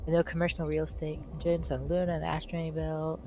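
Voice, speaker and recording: A woman streaming a video game, chatting casually and reading on-screen text aloud haltingly while she loses her voice. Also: sits low, completely flat delivery, mellow, a little hiss or hum. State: losing her voice.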